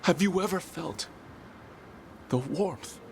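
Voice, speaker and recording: An actor voicing a solemn, devoted elven zealot in a video game, speaking in a grave, formal and fervent way. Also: male voice, fairly high pitch, takes it slowly, creaky, slight background noise. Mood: devout. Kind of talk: dramatic video game voice acting. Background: music.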